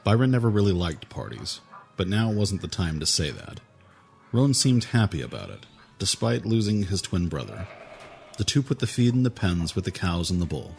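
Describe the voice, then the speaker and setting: A male narrator reads fantasy fiction in a very deep register. The delivery is scripted audiobook storytelling, with faint music running behind the voice. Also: big pitch swings, moderate pace, creaky.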